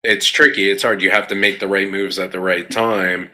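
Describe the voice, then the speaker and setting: A man's voice: sits low, little pitch movement, normal speed, pushed and bright. A man chatting casually and enthusiastically about tabletop gaming in an informal way. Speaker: podcast panelist and game player.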